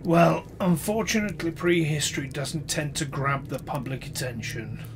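An actor playing a skeptical, dry historian in an audio drama. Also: male voice, a little high, normal speed, background noise, natural voice. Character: skeptical older male historian, dry and bitter. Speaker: academic interviewee. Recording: music bed under dialogue.

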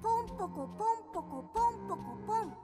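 A woman's voice: extremely high pitch, expressive, rapid-fire, dark tone, soft background music. A woman voicing a playful little tanuki, chanting drum sounds in a radio drama. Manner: chanting nonsense drum syllables.

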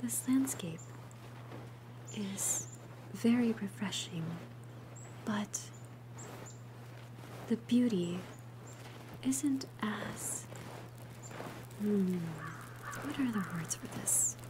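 A woman voicing a gentle, shy young female alchemist in an ASMR roleplay, softly breathy, hesitant and tender. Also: mid-range voice, extremely slow, long pauses, noisy background. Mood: tender, admiring. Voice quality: soft, breathy whisper.

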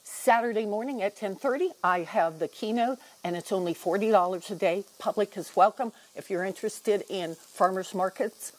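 A woman's voice: medium pitch, normal speed, few pauses, rough recording. An older woman, a guest cook, chatting while she demonstrates a recipe in a TV studio kitchen, with many ums as she explains. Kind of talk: cooking demonstration chat.

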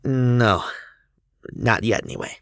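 A woman's voice: very deep voice, slow pace, no room sound, heavily acted. A woman acting a flustered, sarcastic teenage schoolboy in a fan audio drama. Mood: hesitant.

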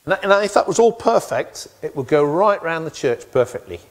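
A middle-aged man preaching on a church stage, his voice rising and falling in a lively way as he makes his points. He sounds animated and conversational, and he is picked up close by a headset mic. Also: on the high side, moderate pace. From England. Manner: animated, gestures widely while pacing the stage. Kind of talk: sermon. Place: church stage with wooden backdrop.

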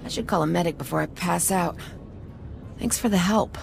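Beat-up lady cop in a game, weary n wry, low voice, faint music. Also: moderate pace, creaky. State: pained, woozy. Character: battered, wry female alien police officer. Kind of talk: video game dialogue.

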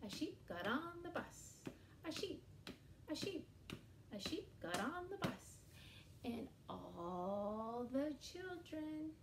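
A woman in her forties leads young children through an animal rhyme with puppets, speaking slowly and in an exaggerated sing-song way. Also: on the high side, echoey room, a little hiss or hum. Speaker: early years educator. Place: small indoor room against a bare wall.

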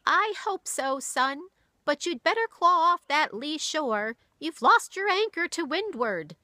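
A woman's voice: sits high, big pitch swings, measured pace, pushed and bright, dry recording, clean studio sound, voice pushed up, full character voice. A woman voicing an elderly grandmother who speaks in old sailor's sayings. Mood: sad, stern. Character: elderly grandmother, salty seafaring talk.

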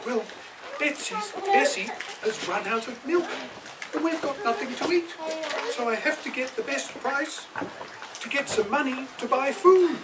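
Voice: sits high, expressive, unhurried. A performer voicing a naive, earnest five-year-old boy in a children's puppet show. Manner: earnest, hesitant, simple. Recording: outdoor far camera, noisy background.

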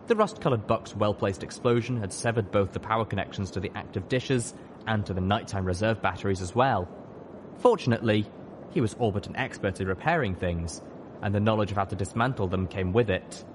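A man's voice: lowish voice, fairly quick, noisy background. A man reading fiction aloud as an audiobook narrator, in a dramatic scripted style.

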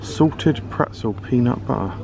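A man's voice: medium pitch, expressive, a little slow, noisy background. A British man vlogging his shopping trip, chatting curiously about products on the shelves. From United Kingdom. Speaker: food vlogger. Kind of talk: casual running commentary while shopping. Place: large supermarket aisles, indoors.

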